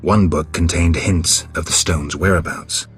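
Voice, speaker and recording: An actor playing a gruff, stoic monster hunter in a video game, terse and deadpan, with a gravelly voice. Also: male voice, deep voice, unhurried, soft background music, heavily acted. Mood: deadpan, guarded. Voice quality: gravelly, husky.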